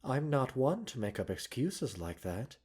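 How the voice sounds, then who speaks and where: A man playing a gentle, caring doctor in a roleplay ASMR, speaking softly and breathily with measured, polite, gently chiding formality. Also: medium pitch, big pitch swings. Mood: fond, reassuring. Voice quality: soft, breathy. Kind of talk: roleplay ASMR, scripted character acting.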